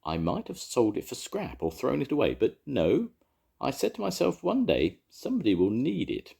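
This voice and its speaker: A man's voice: mid-range voice, huge pitch swings, moderate pace, gravelly, dark tone, breathy edge, pitched above the performer's own voice, exaggerated voice. A man voicing a kindly, thrifty male village baker with a homely, chatty manner in a storybook.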